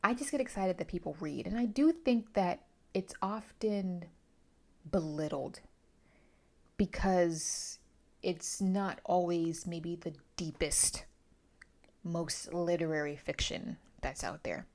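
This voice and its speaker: A woman's voice: lowish voice, slow pace. A woman talking casually through a book review, opinionated and emphatic, often wandering into asides.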